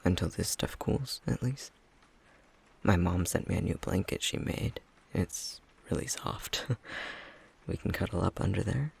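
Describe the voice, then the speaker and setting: A man playing an affectionate, self-deprecating young fox-shifter boyfriend in an intimate ASMR roleplay, speaking softly and hesitantly, often half-whispered. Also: low voice, unhurried, gravelly, slightly rough, heavily acted. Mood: cozy, caring.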